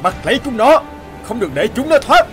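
A man voicing a menacing, lecherous sea god in an animated fairy tale, gloating and commanding. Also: very high voice, a little fast, soft background music, heavily acted. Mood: furious, commanding. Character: menacing, lecherous male sea god holding a girl captive.

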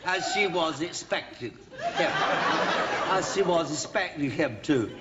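A man's voice: fairly high pitch, expressive, takes it slowly. A tipsy rambling father of the bride. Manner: slurring, stumbling over words, self-correcting malapropisms. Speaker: bride's father giving a wedding toast. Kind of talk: 1980s TV sketch comedy. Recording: dull old TV sketch with loud audience laughter. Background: studio audience laughing.

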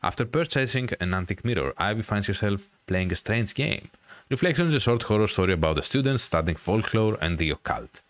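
A man hosting a gaming video, reading a visual novel aloud in a dramatic, drawn-out storytelling way with casual commentary. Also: lowish voice, lively intonation, no room sound.

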